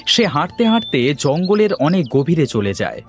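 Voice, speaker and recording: High-pitched male fairy tale narrator. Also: very animated, normal speed, soft background music.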